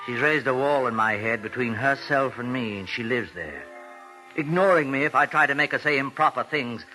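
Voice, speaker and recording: An actor playing a haunted ventriloquist in thrall to his dummy, speaking in measured, confessional phrases in a 1940s radio drama. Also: mid-range voice, bright, forceful tone, natural voice, poor audio. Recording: old radio recording, faint music behind.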